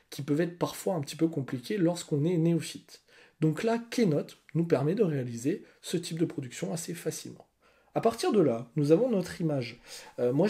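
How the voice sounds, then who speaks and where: A man in his thirties records a software tutorial at his desk at home. His voice sits a bit high yet sounds warm and soft-edged. He explains patiently, one step at a time, and keeps checking in with a little "hein" or "ok". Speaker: teacher giving a software tutorial. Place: home room with bookshelves, indoors.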